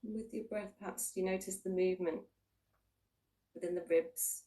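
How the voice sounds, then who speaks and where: A woman with a low voice leads a guided meditation, sitting a little way from the microphone. She gives gentle, invitational instructions, leaving long pauses between unhurried phrases. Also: fairly even intonation, far from the mic. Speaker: mindfulness practitioner leading a guided body scan.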